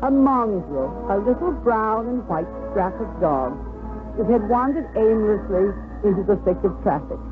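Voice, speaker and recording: A woman's voice: mid-range voice, animated, unhurried, over music. An American woman giving scripted narration for a 1950s radio drama. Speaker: story narrator. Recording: old narrow-band radio broadcast.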